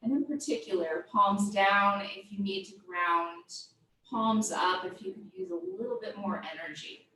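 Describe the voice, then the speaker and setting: A woman teaching yoga, guiding a body scan with gentle, invitational step-by-step cues. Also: mid-range voice, unhurried. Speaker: yoga instructor. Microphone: distant microphone. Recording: very echoey room, distant mic, faint noise.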